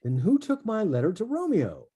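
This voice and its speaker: A streetwise man who mentors the young, played by an actor, worries aloud in a very dark, soft-edged voice. The pitch swings with the poetic stage lines, heard over a video call. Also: huge pitch swings, normal speed, slightly rough. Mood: worried, resolute. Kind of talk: table read of a stage play.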